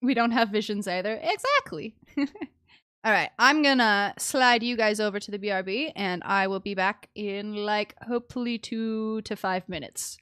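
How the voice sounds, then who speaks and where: A woman's voice: medium pitch, measured pace, a bit bright, smooth, no echo. Chatty woman streamer, dry close sound. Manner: giggly, chatty. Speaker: game streamer. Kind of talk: casual live-stream game commentary.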